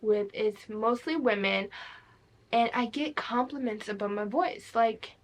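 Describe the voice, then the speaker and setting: A woman's voice: a little high, a little slow. A young woman hosting her own podcast, talking in a rambling, candid way and swearing freely. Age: young adult. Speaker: podcast host. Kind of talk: casual solo podcast talk.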